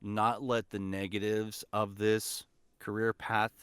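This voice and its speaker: A man's voice: lowish voice, level tone, slow pace, fairly bright tone, smooth, no room sound. Encouraging man giving career advice, dry close sound. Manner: encouraging, emphatic with repeated key phrases. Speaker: voice actor and teacher, podcast guest. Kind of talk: conversational interview advice.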